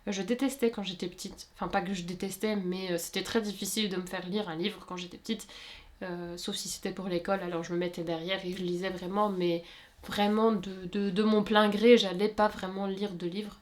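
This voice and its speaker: A woman's voice: mid-range voice, fairly even intonation, brisk. Chatty young woman. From Luxembourg. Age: 20s. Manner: chatty and rambling, many fillers and self-corrections. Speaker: YouTuber answering viewer questions. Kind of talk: casual chat.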